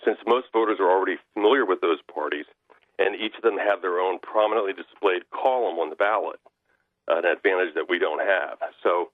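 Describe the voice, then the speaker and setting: An American man giving political commentary as a radio guest over the telephone, halting and often restarting his sentences. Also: deep voice, dry recording. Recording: narrow tinny phone line.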